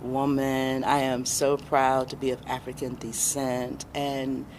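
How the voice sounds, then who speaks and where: A middle-aged American woman answering interview questions as a guest, reflective and deliberate, pausing for emphasis. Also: extremely low pitch, monotone, a little mellow, slight background noise. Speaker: interview guest, independent filmmaker.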